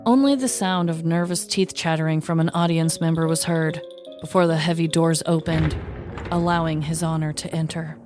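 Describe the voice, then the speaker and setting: A woman hosting a podcast, reading a comedic true-crime story with wry, deadpan delivery. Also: low-pitched, normal speed, faint music behind.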